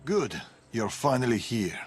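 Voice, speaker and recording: An actor playing a guarded, weathered male veteran assassin from Persia in a video game, measured and serious. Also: mid-range voice, very animated, faint music behind. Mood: grave, instructing. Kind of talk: video game cutscene acting.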